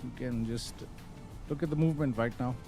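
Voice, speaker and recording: A man giving casual live commentary on a flight simulator, explaining step by step with hesitant pauses. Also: medium pitch, warm tone, soft background music.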